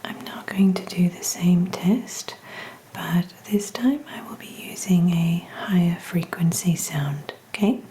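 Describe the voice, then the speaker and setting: A woman playing a calm, attentive clinician in an ASMR roleplay, speaking in a breathy, gentle, reassuring whisper. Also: fairly low pitch, unhurried, close to an everyday voice. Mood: gentle, soothing. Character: calm, attentive clinician giving an ear exam. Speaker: ASMR performer. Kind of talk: soft-spoken ASMR roleplay.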